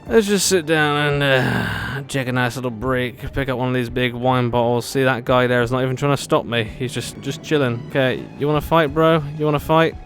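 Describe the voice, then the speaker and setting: Male gaming host: average pitch, joking and sarcastic casual commentary over light game music. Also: fairly quick, hardly any pauses. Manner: jokey, sarcastic, playful.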